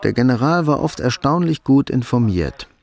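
A man narrating a radio drama in a sober, measured, literary storytelling style. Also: medium pitch, expressive, gravelly, darkish tone.

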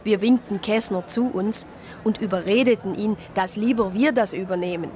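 Eine Schauspielerin spielt eine Diakonisse, die als Ich-Erzählerin in einem Hörspiel von ihren Erlebnissen berichtet. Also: female voice, medium pitch, moderate pace, darkish tone, slight background noise, natural voice. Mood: calm recounting. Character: anxious deaconess recounting her adventures abroad. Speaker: first-person narrator. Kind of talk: radio play narration and dialogue.

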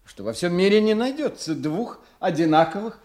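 An actor playing a smug, gloating police inspector in a detective radio play, with a mock-polite, patronizing manner. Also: male voice, sits high, sing-song, moderate pace, darkish tone. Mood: triumphant. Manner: triumphant, mock-polite, chuckling, emphatic. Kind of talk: theatrical radio drama acting in a detective play.

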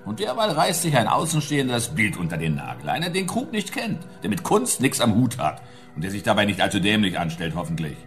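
A man's voice: fairly low pitch, huge pitch swings, brisk, a bit bright, soft background music, heavily acted. A man playing a glib, condescending schemer in a radio play, giving instructions in a smug, impatient manner. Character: glib, condescending male schemer posing as art doctor.

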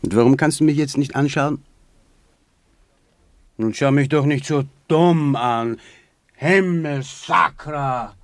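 Brusque, gravelly man, dry sound. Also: fairly high pitch, slow pace, long pauses, no room sound. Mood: curt, irritated. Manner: clipped, probing questions, curt. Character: brusque, scornful man who despises sentimentality. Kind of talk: radio play acting.

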